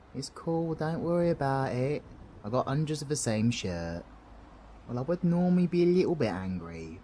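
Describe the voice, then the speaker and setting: A man's voice: mid-range voice, darkish tone, slight background noise, natural voice. A British man playing a cheeky, kind-hearted supervillain in an audio roleplay, chummy, slangy and reassuring, with a bit of mild swearing. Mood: playful concern. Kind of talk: scripted audio roleplay addressed to the listener.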